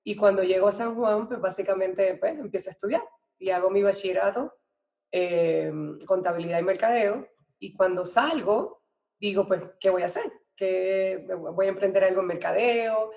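A woman's voice: mid-range voice, moderate pace, lo-fi sound. Puerto Rican woman telling her story. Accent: Puerto Rican Spanish. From Puerto Rico. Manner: relaxed, conversational, with "pues" and "este" fillers. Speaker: guest, finance expert and bank owner. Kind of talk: interview storytelling.

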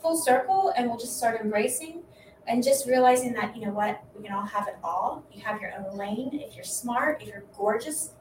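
A middle-aged woman with a high voice, bubbly and chatty in casual conversation. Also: normal speed, noisy background. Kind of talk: casual chat. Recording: echoey room, mic at a distance.

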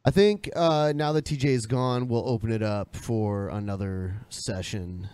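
A man's voice: fairly low pitch, a little slow, a little mellow, clear, resonant tone, dry recording. A man hosting a podcast in casual conversation. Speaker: podcast host. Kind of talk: casual chat.

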